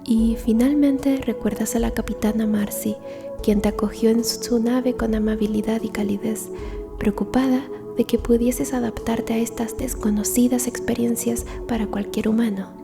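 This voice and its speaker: A woman narrating a scripted ASMR story in a soft, hushed, breathy whisper. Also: on the high side, fairly even intonation, moderate pace, over music.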